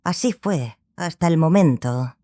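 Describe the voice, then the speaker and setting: A stern, scholarly sorceress tells her story in a fantasy audiobook. She is grave and obsessive, with a measured delivery and deliberate pauses. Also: low voice, animated, mellow. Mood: reflective. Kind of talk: dramatized audiobook dialogue.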